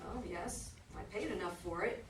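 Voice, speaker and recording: A woman's voice: fairly low pitch, moderate pace, close to an everyday voice. A woman acting a hesitant well-off resident, distant, echoey. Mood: polite, smug. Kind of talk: staged play reading. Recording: distant echoey live recording, noisy background.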